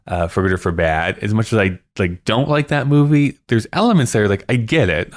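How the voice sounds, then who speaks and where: Male podcast co-host, low voice, calm critic. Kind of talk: casual film-discussion chat.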